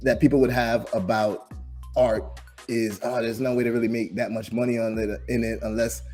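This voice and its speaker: A man from Jamaica hosting a business podcast, talking in a lively, encouraging way and often restarting his sentences. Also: medium pitch, normal speed, soft background music. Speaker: podcast host. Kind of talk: casual interview.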